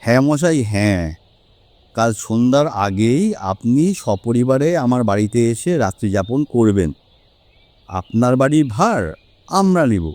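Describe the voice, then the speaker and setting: A man playing a confident, sharp-minded male detective in an audio story, with deliberate, emphatic phrasing. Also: medium pitch, expressive, no room sound, lightly acted. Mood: assured. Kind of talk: Bengali audio story acting.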